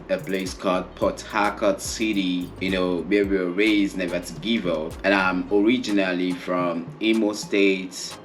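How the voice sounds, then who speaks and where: A Nigerian man in his twenties with a Nigerian accent, talking earnestly and warmly in a casual couples chat. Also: low-pitched, a little hiss or hum. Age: 20s. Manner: earnest, heartfelt, unhurried. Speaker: co-host.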